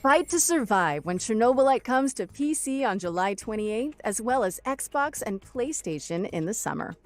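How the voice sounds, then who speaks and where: A woman's voice: a little high, animated, moderate pace, dry recording. A woman presenting a showcase from a script.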